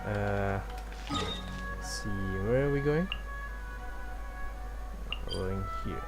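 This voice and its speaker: A young man from Malaysia giving casual live gameplay commentary, thinking aloud in a halting way. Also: lowish voice, rough recording. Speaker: game streamer. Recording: game music behind the voice. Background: game music.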